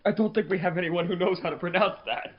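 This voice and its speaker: A man's voice: high-pitched, fast talker, lo-fi sound. A man talking casually as a podcast co-host.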